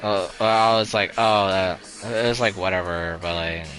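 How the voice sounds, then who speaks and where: A man's voice: deep voice, fairly even intonation, measured pace, a bit bright, faint music behind, low-quality recording. A young man streaming a game live, chatting casually in a rambling, resigned way, full of "like" and "you know" and restarted sentences.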